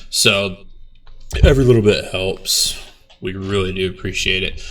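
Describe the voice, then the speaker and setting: A young man, a bassist, talking casually about his band's video in a laid-back, rambling way with lots of fillers and some swearing. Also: vocal fry, sharp tone, recorded from a distance. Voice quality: low voice. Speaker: bass player reacting to his band's video. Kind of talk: casual reaction vlog.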